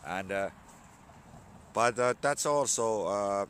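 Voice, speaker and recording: A man in his forties with a Finnish accent, a bit hoarse from a cold, talking in halting English with many fillers into a phone held at arm's length outdoors. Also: on the low side, a bit bright. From Finland. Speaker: vlogger. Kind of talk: casual outdoor vlog talk. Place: outdoors on a sunny spring morning.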